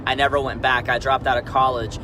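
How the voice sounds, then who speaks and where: Man, 30s, Peru-born, candid rambling live-stream host, clear traffic noise under the voice. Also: medium pitch, flat delivery, brisk. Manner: candid, rambling, frequent restarts and "right?" asides. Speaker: network marketing leader. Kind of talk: Facebook Live motivational talk.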